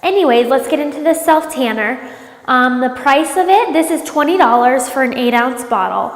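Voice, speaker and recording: A woman's voice: high-pitched, expressive, moderate pace. A woman reviewing a product in a casual vlog, sounding congested from a cold and chatting with lots of ums. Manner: chatty, many ums, upbeat. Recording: echoey room with long reverb.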